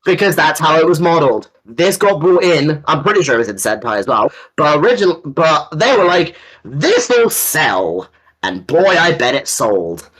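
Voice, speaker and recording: A man's voice: on the high side, big pitch swings, normal speed, a bit bright, slightly rough, low-quality recording. A man co-hosting a casual fan discussion, talking in an enthusiastic, rambling way with some casual swearing. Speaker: co-host.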